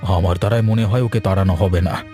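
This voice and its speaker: Male actor as troubled cartoon landowner, fairly low voice, resigned yet in charge, faint music bed. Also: fairly even intonation, quick pace, mellow, natural voice. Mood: worried. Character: worried, put-upon village gentleman, mother-dominated. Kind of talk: cartoon story dialogue.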